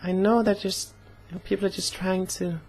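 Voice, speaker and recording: A young woman talking casually in a personal vlog, slightly hoarse, with an earnest, halting way of speaking. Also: mid-range voice, level tone, darkish tone. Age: young adult. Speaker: vlogger.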